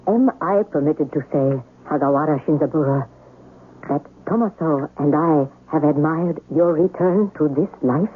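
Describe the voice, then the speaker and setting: An actress playing a devoted, cautious housemaid in a 1970s radio drama, deferential and earnest. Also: female voice, sits low, moderate pace, slight background noise, lightly acted. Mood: respectful, cautious. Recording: phone-like vintage radio.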